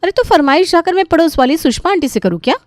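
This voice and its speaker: A woman's voice: very high voice, animated, fast talker, dry recording, clean studio sound, voice pushed up. A woman voicing a defiant, demanding daughter-in-law in a cartoon story, sarcastic and argumentative. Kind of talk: animated moral story dialogue.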